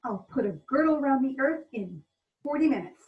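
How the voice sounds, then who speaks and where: A woman's voice: high voice, expressive, normal speed. A woman playing an eager, mischievous sprite servant in a Shakespearean table read, recorded over a call. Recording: distant, tinny phone-like call audio.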